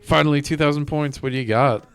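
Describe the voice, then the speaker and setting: A man's voice: fairly high pitch, fast, smooth. Casual male game streamer thinking aloud. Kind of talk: casual live gameplay commentary. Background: game music.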